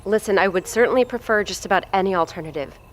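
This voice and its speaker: A woman's voice: medium pitch, quick pace, natural voice. An actress playing a blunt, foul-mouthed woman who heads a research facility, talking in a commanding way in an audio drama. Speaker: facility head.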